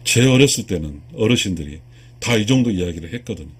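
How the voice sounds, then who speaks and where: A husky-voiced man in his sixties delivers an earnest spiritual lecture. His pitch swings widely as he stresses and repeats key phrases. He addresses his viewers directly, like a teacher. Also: lively intonation, fast talker. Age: 60s. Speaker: lecturer, video channel host.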